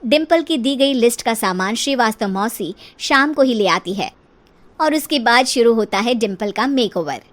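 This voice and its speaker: A woman narrator reading a scripted comic story for an animated video. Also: a little high, normal speed.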